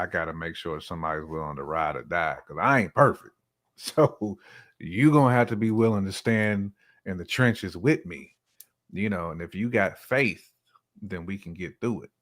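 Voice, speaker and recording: A man with a deep voice chats casually as a podcast guest. His delivery is colloquial and emphatic, and he feels his way toward the right words. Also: fairly bright tone. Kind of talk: casual conversational chat.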